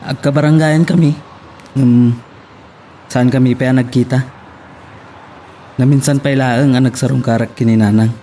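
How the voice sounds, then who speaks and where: Mellow-voiced polite male employee played by a man. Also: medium pitch, fairly even intonation, moderate pace, long pauses, a little hiss or hum, close to an everyday voice. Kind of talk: Ilocano radio drama acting.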